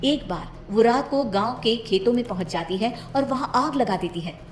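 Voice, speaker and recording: A woman's voice: on the high side, normal speed, echoey room, soft background music. A woman reading a scripted cartoon moral story as its narrator.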